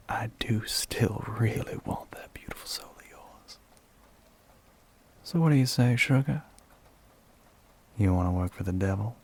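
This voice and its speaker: A man voicing a flirty, sly, gentlemanly demon with a Southern US accent in an ASMR roleplay, half-whispered, breathy and husky, with a teasing drawl. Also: medium pitch, heavily acted. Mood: seductive, scheming. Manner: slow, teasing drawl with pet names.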